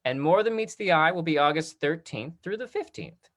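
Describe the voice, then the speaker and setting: A host of a casual online talkback, speaking in a halting, conversational way like a drama teacher. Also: mid-range voice, expressive, fairly bright tone.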